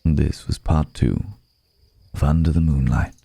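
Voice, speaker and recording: A man's voice: very deep voice, steady tone, measured pace, gravelly, dark tone, breathy edge, dry recording. A man reading a scripted sign-off as a voice actor and narrator. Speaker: voice actor reading the closing credit.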